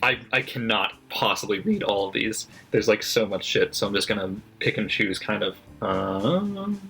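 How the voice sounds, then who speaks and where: A man's voice: fairly low pitch, lively intonation, normal speed, pushed and bright, slightly rough. A man casually reading out his margin notes on a book, chatty and sardonic, with lots of fillers and swearing. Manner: loose and chatty, many fillers, frequent swearing; sardonic and critical, laughs at own notes. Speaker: book vlogger. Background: faint background music.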